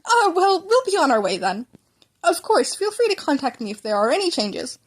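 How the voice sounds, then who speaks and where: A woman's voice: sits high, sing-song, moderate pace, voice pushed up. A woman puts on a flustered mother in a storybook reading. The voice is raised and hesitant, sounding dazed and out of her depth. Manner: hesitant, trailing off. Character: overwhelmed, dazed mother of a young boy.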